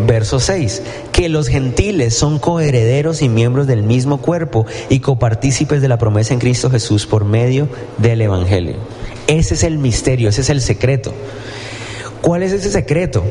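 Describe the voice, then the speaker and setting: A man's voice: mid-range voice, mellow, slight background noise. A man preaching a sermon in a church, talking conversationally and asking his listeners questions. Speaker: pastor.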